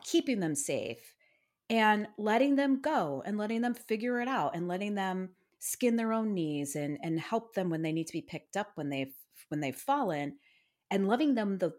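Chatty woman with lively, lowish voice. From Iowa. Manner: chatty and self-deprecating, laughs often. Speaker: podcast co-host. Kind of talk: casual true-crime podcast chat.

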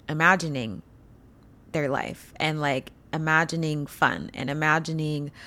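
A woman with a hoarse, froggy voice giving a casual motivational podcast talk as host and coach, informal and emphatic. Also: low voice. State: hoarse from allergies.

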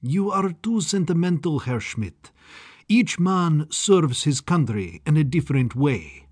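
A man's voice: a little high, very animated, measured pace, slightly rough, voice pushed up, exaggerated voice. A man voicing a smug, gleeful and sinister scientist in an audiobook, with a sing-song, condescending, theatrical manner. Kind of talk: audiobook character acting.

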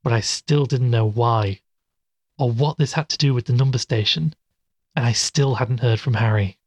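A man's voice: medium pitch, moderate pace, a little mellow, breathy edge, dry recording. A man playing an uneasy, questioning podcast investigator in an audio drama. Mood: measured, curious. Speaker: host and narrator of an audio drama. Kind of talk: audio drama narration and acting.

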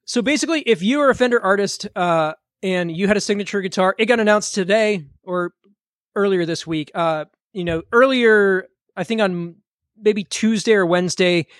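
A man co-hosting a podcast in easygoing, rambling chat. His voice sits a bit high. The sound is dry and close, with no room around it. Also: moderate pace, no echo. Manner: relaxed, rambling with many fillers. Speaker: podcast co-host. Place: home studio during a live stream.

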